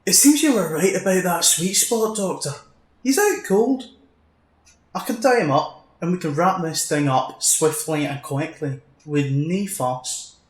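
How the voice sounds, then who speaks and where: A man playing a gruff, cocky older soldier in an audio drama, blustering and colloquial, recorded with an echo. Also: on the high side, expressive, unhurried. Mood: smug, brisk. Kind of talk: fan audio drama acting. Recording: echoey, reverberant room sound.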